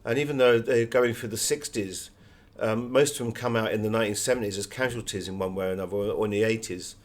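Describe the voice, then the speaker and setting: A man's voice: lowish voice, steady tone, quick pace. A man speaking as a guest author in an interview, explaining things in a discursive, anecdotal way. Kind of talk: conversational interview, telling anecdotes.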